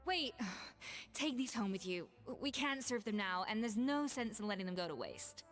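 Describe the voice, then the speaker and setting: A woman voicing a proud, strict, prickly head chef in a video game, brisk, exacting and matter-of-fact. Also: medium pitch, big pitch swings, faint music behind, lightly acted. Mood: defensive, brisk. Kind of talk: animated game voice acting.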